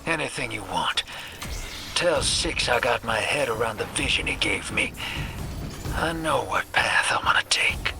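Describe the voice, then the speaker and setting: An actor playing a quiet, loyal confidant in a video game, speaking softly over music. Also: male voice, mid-range voice, animated, a little slow, gravelly. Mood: resolved, hushed. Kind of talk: video game cutscene acting. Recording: music behind the voice.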